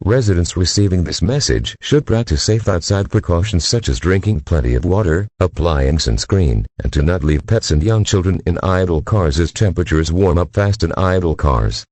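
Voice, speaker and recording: A synthetic-sounding man's voice as a weather radio announcer, reading official bulletins in a measured, impersonal way. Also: low voice, lively intonation, darkish tone, no room sound. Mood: calm, official.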